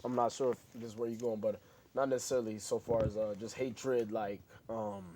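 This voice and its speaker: A man's voice: lowish voice, flat delivery, brisk, dark tone, slight background noise. A man co-hosting a religious studio show, hesitant and searching for his words. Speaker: co-host priest. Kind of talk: casual studio discussion.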